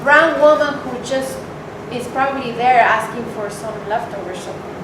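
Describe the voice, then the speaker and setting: A woman from Mexico, a guest speaker, telling a personal story to an audience in a candid, animated way. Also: a little high, moderate pace. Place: event hall with audience. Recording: echoey hall, mic from far off, noisy.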